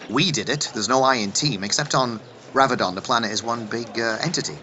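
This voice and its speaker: An actor playing a whimsical, eccentric time-travelling doctor who banters and jokes in a radio drama. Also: male voice, mid-range voice, brisk, lo-fi sound, background noise, close to an everyday voice. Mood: wry, reflective. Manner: bantering, jokey. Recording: loud music bed.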